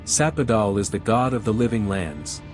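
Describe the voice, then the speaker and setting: Game lead: serious, guarded male adventurer, played by a man, lowish pitch, clear music underneath. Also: expressive, moderate pace, darkish tone, close to an everyday voice. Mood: earnest. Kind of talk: video game voice acting.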